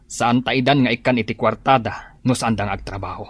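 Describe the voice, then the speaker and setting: A man's voice: medium pitch, a little fast, no room sound, close to an everyday voice. A middle-aged man with an Ilocano accent plays a strict, scolding farmer father in a radio drama. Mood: angry. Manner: scolding, gruff. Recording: faint music behind.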